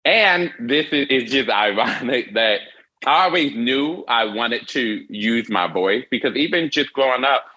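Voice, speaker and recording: A young man with hearing aids, an interview guest telling his personal story earnestly, halting with frequent restarts. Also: mid-range voice, expressive, pushed and bright, poor audio.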